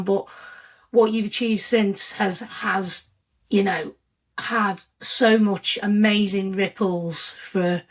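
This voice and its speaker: A woman's voice: medium pitch, poor audio. A woman hosting a podcast interview, asking chatty leading questions with tag questions. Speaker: podcast host.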